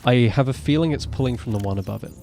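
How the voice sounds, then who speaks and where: A man in his twenties with a naturally deep voice, rambling casually as he streams a game live. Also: fast talker, vocal fry, a little mellow, slight background noise. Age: 20s. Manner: chatty, rambling, self-correcting. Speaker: gaming streamer. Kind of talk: casual live gameplay commentary.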